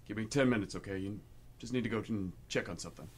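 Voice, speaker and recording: Radio play veteran played by a man: lowish voice, casual, guarded, clipped answers, noisy live recording. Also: fast, natural voice. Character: guarded, laid-back older brother, Vietnam veteran back from overseas. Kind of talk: stage-style radio play acting.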